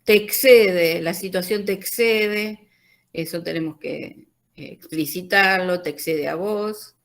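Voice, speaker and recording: A woman's voice: fairly low pitch, slow, gravelly. An Argentine woman teacher guiding students through an online class consultation, hesitant with many fillers.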